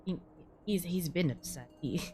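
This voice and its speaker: A woman's voice: lowish voice, moderate pace, heavy background noise. A woman streaming a game, casually commenting and reading story narration aloud.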